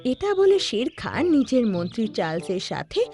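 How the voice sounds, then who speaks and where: A woman's voice: fairly high pitch, sing-song, moderate pace, a little mellow. A woman narrating a scripted children's cartoon story. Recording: background music under the voice.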